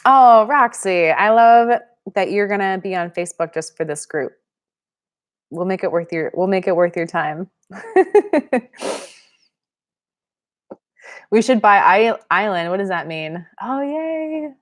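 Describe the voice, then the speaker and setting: A woman's voice: mid-range voice, expressive, takes it slowly, long pauses. A young woman from Pennsylvania hosting a live-stream Q&A, chatting casually and reading viewer comments aloud. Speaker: channel host.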